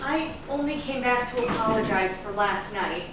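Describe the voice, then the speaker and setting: Actress as polite, reproachful guest, noisy recording. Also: female voice, fairly high pitch, expressive, moderate pace, natural voice. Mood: apologetic. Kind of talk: staged reading of a crime drama. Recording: distant, very echoey room, loud background noise.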